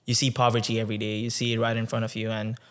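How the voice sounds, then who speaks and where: A man in his twenties from Tanzania, a podcast guest telling his story in a reflective, conversational way, often pausing with um and uh. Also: fairly low pitch, monotone, clear, resonant tone. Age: 20s. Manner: reflective, with many um and uh pauses.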